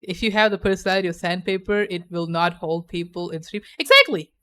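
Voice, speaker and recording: A woman's voice: fairly low pitch, fairly even intonation, fast talker, bright, forceful tone. A young adult woman chatting casually on a stream, playful and opinionated, laughing often.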